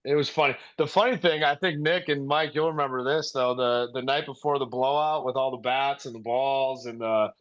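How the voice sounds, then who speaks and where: A man reminiscing casually on a podcast, telling anecdotes with animated delivery and quoting people as he goes. His voice sits at a middle pitch, and the audio is dull and slightly muffled. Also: normal speed, hardly any pauses. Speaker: podcast guest, former baseball player.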